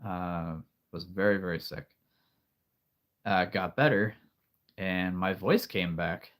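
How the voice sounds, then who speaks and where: Male stream host: very deep, unhurried, soothing storyteller voice, rambling and calm, slight allergy throat-clearing. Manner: rambling, with frequent restarts and soft laughs. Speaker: livestream host. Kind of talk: casual live-stream chat.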